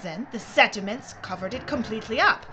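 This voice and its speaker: An actress playing a brisk, secretive mining executive in an audio drama, speaking in a precise, formal way. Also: female voice, a little high, animated, pushed and bright. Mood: brisk, explanatory. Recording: clear background noise and ambience.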